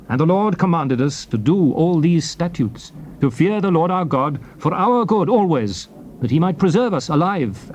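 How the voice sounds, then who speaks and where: A man's voice: fairly high pitch, darkish tone, close to an everyday voice. Guy doing a stern old-testament prophet preaching at his people, very up and down, declamatory, hissy cassette sound. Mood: solemn. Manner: solemn, declamatory, deliberate phrasing. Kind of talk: dramatized King James scripture reading. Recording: noisy old cassette tape recording.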